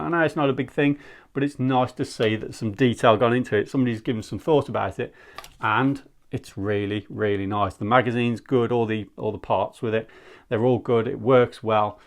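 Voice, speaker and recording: A man giving a casual, candid product review in a conversational, rambling way. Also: medium pitch. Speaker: airsoft gear reviewer.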